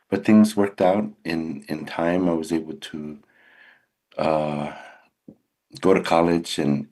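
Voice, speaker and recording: A man's voice: extremely low pitch, a little mellow, husky. An older man from California, a radio guest, talking earnestly and unhurriedly about his career, often restarting his sentences.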